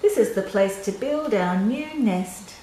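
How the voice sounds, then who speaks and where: A woman's voice: mid-range voice, animated, measured pace, natural voice. An older woman voicing a bossy, fussy female bird wife with a blunt manner in a children's storybook. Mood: decided, satisfied. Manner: blunt, opinionated. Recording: roomy echo, faint background noise.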